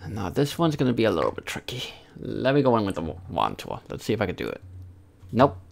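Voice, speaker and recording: A young man streams casually from a room at home. His voice sits fairly low with a strong fry. He talks to himself in halting bits as he works through a task. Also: huge pitch swings. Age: young adult. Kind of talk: casual livestream muttering while doing digital art. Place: small room at home, indoors.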